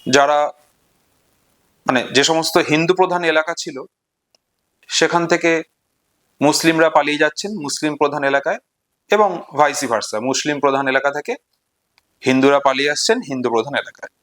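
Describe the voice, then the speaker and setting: A man giving an informal literary lecture and retelling stories, in deliberate, measured phrases broken into short segments. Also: a little high, a bit bright. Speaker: literature explainer and presenter.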